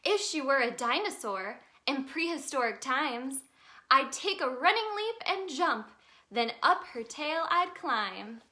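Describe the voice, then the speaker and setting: A woman reading a children's story aloud in an expressive, sing-song way for young listeners. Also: high-pitched, slow. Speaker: children's storybook reader, in a tooth fairy persona.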